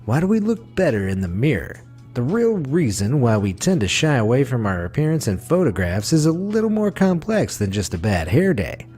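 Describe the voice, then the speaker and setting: A man reading a scripted facts video as narrator, with a smooth, wry delivery. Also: medium pitch, very animated, normal speed, a little mellow, soft background music.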